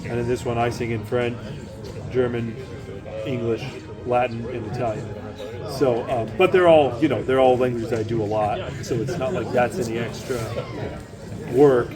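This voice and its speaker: A man's voice: medium pitch, fairly even intonation, normal speed. A man, an opera singer, answering interview questions in an easygoing, matter-of-fact way. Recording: loud background music.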